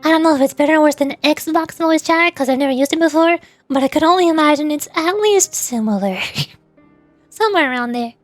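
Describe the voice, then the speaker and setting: High-voiced chatty young woman streamer. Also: brisk, no echo, faint music behind. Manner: rambling and chatty, with frequent laughs and restarts. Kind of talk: casual chat while streaming a game.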